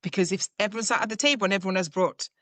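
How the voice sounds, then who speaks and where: A woman's voice: medium pitch, rapid-fire, fairly bright tone, no room sound. A woman from Zambia, a leadership coach, answering interview questions in a reflective way with everyday examples.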